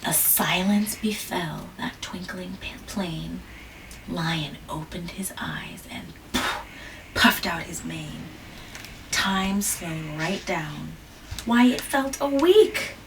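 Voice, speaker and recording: A woman reading a children's picture book aloud, gentle, with dramatic whispers and asides. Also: fairly low pitch, animated, takes it slowly. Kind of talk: read-aloud storytelling. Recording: distant mic with background hiss.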